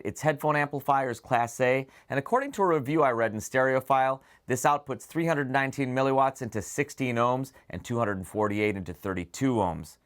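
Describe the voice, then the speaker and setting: A man hosting a product preview, speaking to viewers in a detailed, enthusiastic, semi-scripted way. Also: medium pitch. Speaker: presenter of an audio gear preview show.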